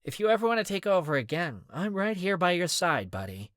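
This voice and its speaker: A man's voice: high voice, big pitch swings, fairly quick, fairly bright tone, no echo, studio quality, pitched above the performer's own voice. A man voicing a brash, sulky young man who grumbles and complains. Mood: grumbling. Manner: whiny, grumbling.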